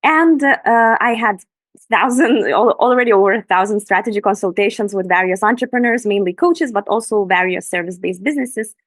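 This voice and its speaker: A woman's voice: medium pitch, fairly quick, dry recording. Enthusiastic woman presenting. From Lithuania. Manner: enthusiastic, earnest. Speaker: Instagram business coach, guest. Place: room in Bali at night. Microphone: video call.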